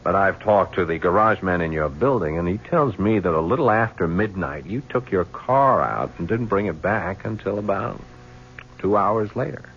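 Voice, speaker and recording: Deep-voiced probing lieutenant in a radio play, lively tone, hissy old sound. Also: male voice, normal speed. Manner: calm, probing. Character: patient probing police lieutenant. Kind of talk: 1970s radio drama acting. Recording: dull old radio recording with faint hiss.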